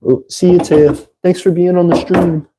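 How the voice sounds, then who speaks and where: A man with a congested voice, hosting a casual livestream and talking haltingly with many fillers. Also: sits high, flat delivery, background noise. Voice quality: congested, nasal. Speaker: livestream host. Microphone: phone.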